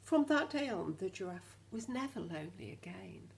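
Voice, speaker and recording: A woman reading a children's story expressively as a teacher, pausing to talk to the children and ask them questions. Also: mid-range voice, vocal fry, slight background noise. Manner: animated, questioning, addresses children directly.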